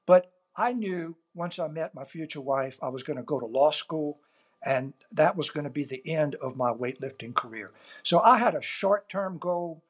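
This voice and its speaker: An older man from South Louisiana with a Cajun accent, a native Cajun French speaker, answering interview questions in an earnest, measured storytelling way. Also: fairly high pitch, slightly rough. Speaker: author and interview guest.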